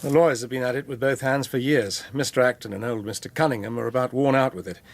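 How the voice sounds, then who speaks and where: An actor playing a polite, deferential police inspector in a radio drama. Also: male voice, mid-range voice, steady tone, fast talker, creaky, lightly acted. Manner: polite, formal, careful.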